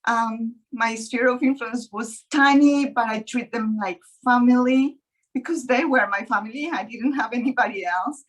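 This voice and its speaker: A woman from Colombia with a Colombian Spanish accent, an estate agent telling her story on a webinar panel in an earnest way, pausing often. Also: a little high, rough recording. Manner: earnest, many pauses and ums. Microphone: video call.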